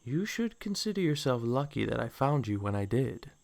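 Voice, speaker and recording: A man's voice: medium pitch, very animated, normal speed, vocal fry, darkish tone. Man voicing a suave, possessive snake man. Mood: sly, possessive. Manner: flowery, coaxing, condescending endearments. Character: suave, possessive snake man courting his captive love. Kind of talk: fan comic dub acting.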